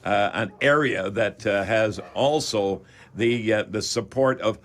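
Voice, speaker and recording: A man's voice: lowish voice, measured pace, a bit bright. An older man with a Canadian accent hosting a chatty radio call-in show in a folksy, rambling manner.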